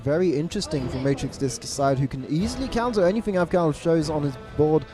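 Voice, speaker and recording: High-voiced male esports caster. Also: over music. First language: English. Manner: quick self-corrections, stressed repeated words. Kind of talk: live game commentary. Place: event venue abroad.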